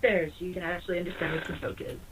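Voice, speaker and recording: A woman's voice: fairly low pitch, steady tone, rapid-fire, far from the mic, slight background noise, rough recording. A woman in casual banter who jokes and explains things animatedly. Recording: voice from away from the mic.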